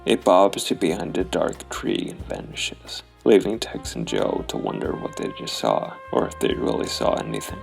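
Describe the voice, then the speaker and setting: Very deep, gravelly man narrating. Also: sing-song, normal speed, hardly any pauses, soft background music. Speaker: host and solo role-player. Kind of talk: narrating a solo noir tabletop game.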